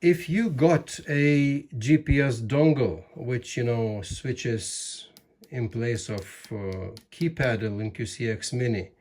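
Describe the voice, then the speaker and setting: A man giving casual instructional talk as a hobby video host, in a halting way with repeated words. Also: mid-range voice, darkish tone. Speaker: amateur radio video host.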